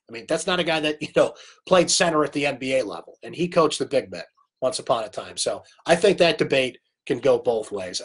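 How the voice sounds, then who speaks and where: A man hosting a late-night live sports recap in a fairly high voice, chatty and opinionated. His voice is worn by a cold, and he talks straight into a webcam. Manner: chatty, opinionated, reads viewer comments aloud. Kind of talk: casual live-stream sports talk.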